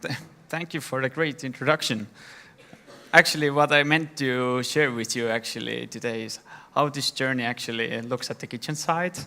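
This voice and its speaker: A young man from Estonia telling his founder story in a plain, slightly halting way on a conference stage. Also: medium pitch, steady tone, vocal fry, bright, forceful tone. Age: young adult. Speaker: startup founder giving a talk. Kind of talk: conference talk.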